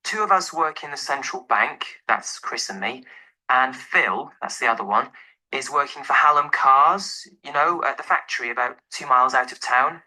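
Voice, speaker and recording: Man acting young male caller, easygoing, informal, very bright forward tone, scripted phone conversation. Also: medium pitch, normal speed, low-quality recording. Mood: casual, friendly. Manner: casual, informal. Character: easygoing young male professional phoning to rent a flat. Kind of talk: acted phone dialogue for a listening test.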